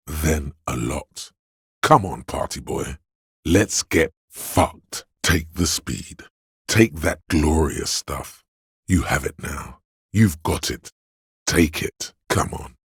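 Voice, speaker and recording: An extremely low, very husky male voice of vice for a video game, sleazy and theatrical. He coaxes like a hedonistic tempter, unhurried and indulgent, in a close, dry recording. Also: dry recording, exaggerated voice. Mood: lewd, eager, then urging, goading. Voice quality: gravelly, husky. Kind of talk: video game character voice lines.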